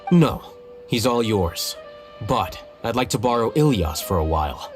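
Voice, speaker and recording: A man's voice: on the low side, very animated, measured pace. A man playing an aloof, coolly rational young scholar in a video game, dry and blunt in delivery. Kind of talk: video game character acting. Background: prominent background music.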